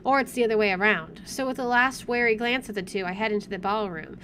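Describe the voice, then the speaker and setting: A woman's voice: medium pitch, quick pace, a bit bright, slight background noise. A woman reading visual novel narration aloud with wry, dramatic expression over game music. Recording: music playing behind the voice.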